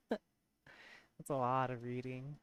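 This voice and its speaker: A live streamer with a tired, hoarse voice, chatting casually with viewers, giggling often and correcting themself mid-sentence. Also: medium pitch, slow pace, no echo. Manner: giggly, laughs often between remarks; rambling, self-correcting. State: voice worn and hoarse from long streaming.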